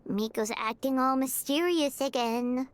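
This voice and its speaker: A woman voicing a tiny, excitable fairy-like companion girl in a video game, with a squeaky childlike voice and an eager, whiny manner. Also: unhurried, clear, resonant tone. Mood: indignant. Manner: talks of herself in third person, whiny, eager.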